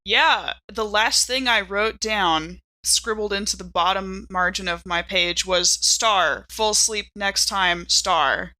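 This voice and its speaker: A woman's voice: medium pitch, slow, hardly any pauses, sharp tone, no room sound, poor audio. A woman chatting casually as a podcast co-host and player. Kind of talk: casual chat.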